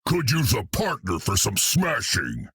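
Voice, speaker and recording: A man voicing a hulking, cocky green brute in a video game, gruff and blunt, talking about himself in the third person with a gravelly, husky voice. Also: on the low side, wildly swooping pitch, unhurried, a bit bright, no echo, exaggerated voice. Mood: gruff banter. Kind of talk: video game character dialogue.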